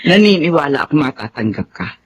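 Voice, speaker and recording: A woman's voice: low voice, big pitch swings, fairly quick, highs cut off. An actress playing an ailing, anxious, loving middle-aged mother in a Filipino radio drama. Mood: encouraging.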